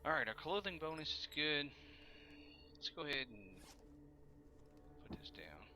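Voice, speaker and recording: A man's voice: on the high side, big pitch swings, measured pace, pushed and bright, a little hiss or hum. A man with a Kentucky accent casually commenting on a game he plays live, chatting easily with viewers and muttering to himself. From Kentucky, USA. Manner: easygoing, mutters to himself while playing, answers chat. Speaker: gaming livestreamer.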